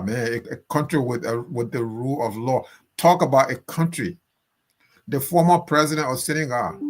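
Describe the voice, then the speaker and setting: Indignant Liberian man, slow and emphatic. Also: a little high. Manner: indignant, emphatic, repeats phrases for force. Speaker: political commentator guest. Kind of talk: impassioned political commentary.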